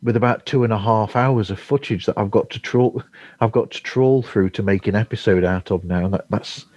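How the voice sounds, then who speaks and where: A man chatting casually as a podcast host, halting and rambling, often chuckling. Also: lowish voice, darkish tone. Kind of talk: casual remote chat. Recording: dull, roomy remote call audio.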